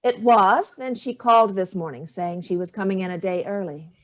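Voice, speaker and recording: A woman's voice: medium pitch, moderate pace, close to an everyday voice. Actress as a cutting, hard-drinking older mother, dry and sardonic. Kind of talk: staged play reading.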